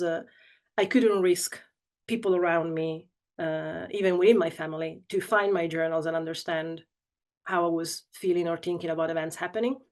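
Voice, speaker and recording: A woman with an Italian accent speaks in a low-pitched voice. She gives a reflective talk about her writing, pausing often with fillers and small laughs between phrases. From Italy. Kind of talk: informal talk to an audience.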